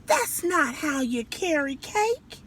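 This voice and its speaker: A woman voicing an exasperated mama possum in a storybook, scolding with drawn-out, emphatic words. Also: sits high, very animated, fairly bright tone, exaggerated voice.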